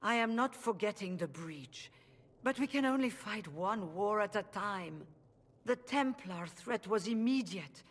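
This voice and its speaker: A proud but weary woman who leads rebel mages in a fantasy game. Her voice is husky and formal, with measured words ringing in a long hall reverb. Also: mid-range voice, animated. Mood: resigned, defensive. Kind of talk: video game dramatic voice acting.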